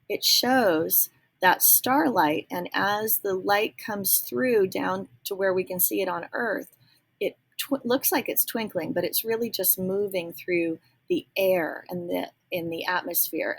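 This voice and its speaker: A woman reading a children's picture book aloud, pausing to ask young listeners gentle teacherly questions and dropping into soft whispers. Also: mid-range voice, vocal fry, breathy edge, poor audio. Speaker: read-aloud storyteller.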